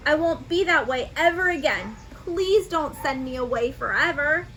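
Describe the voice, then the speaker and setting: A woman's voice: high voice, lively intonation, moderate pace, fairly bright tone, slight background noise, voice pushed up, low-quality recording. A woman voicing a stubborn, huffy young donkey in a children's storybook, complaining in an exaggerated, indignant way. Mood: pleading. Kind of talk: children's storybook read-aloud.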